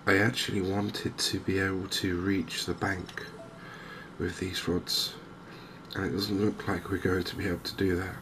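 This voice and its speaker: A soft-spoken man giving hesitant, measured ASMR-style commentary as host of a gaming video. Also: deep voice. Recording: clear steady background noise.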